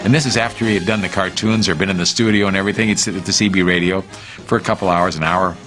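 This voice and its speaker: A man's voice: deep voice, fairly even intonation, rapid-fire, fairly bright tone, soft background music. A man reminiscing informally in an interview.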